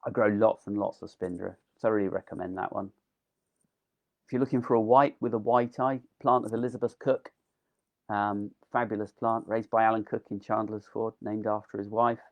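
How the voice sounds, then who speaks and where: A man's voice: fairly low pitch, fairly even intonation, normal speed, vocal fry, muffled recording. A man giving a casual, enthusiastic live online gardening talk, full of ums, as his voice wears thin. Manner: chatty, enthusiastic, with frequent ums. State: losing his voice. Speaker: delphinium grower giving a talk.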